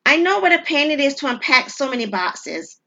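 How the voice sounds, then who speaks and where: A woman voicing a breezy, helpful female friend who quips loudly over the phone in an audio drama. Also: high voice, very animated, a little fast, voice pushed up, heavily acted. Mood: breezy, probing. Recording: dull, lo-fi audio.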